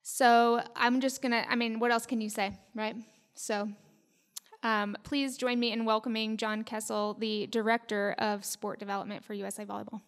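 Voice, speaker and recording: A woman's voice: a little high, flat delivery, normal speed. A woman in her thirties introducing a keynote speaker at a conference podium, hesitant with ums. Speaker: event presenter introducing speaker. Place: hotel conference room. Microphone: podium mic. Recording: long reverb of a conference hall.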